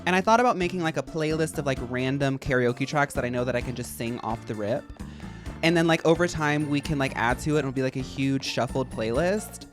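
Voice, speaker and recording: A man with a high, feminine-sounding voice, chatting casually and rambling to his live-stream audience while he plays. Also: a little fast, over music. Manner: rambling, chatty, addresses chat as y'all. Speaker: game streamer.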